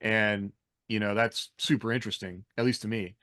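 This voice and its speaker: A man's voice: on the low side, steady tone, moderate pace, fairly bright tone, no room sound, studio quality. An American man co-hosting a podcast in a casual, rambling, anecdotal way, peppering his talk with "like". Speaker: podcast co-host. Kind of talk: casual chat about military life and fiction.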